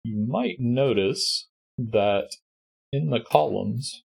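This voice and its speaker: A man with a congested, husky voice, calmly walking through a sudoku puzzle step by step as host. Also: mid-range voice, takes it slowly. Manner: patient step-by-step explaining. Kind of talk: sudoku walkthrough.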